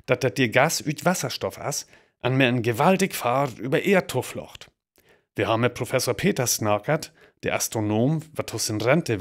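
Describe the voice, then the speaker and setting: A sober man playing a radio newsreader delivers scripted bulletins in a North Frisian accent. His voice is of medium pitch, in the formal manner of a radio drama announcer. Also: close to an everyday voice.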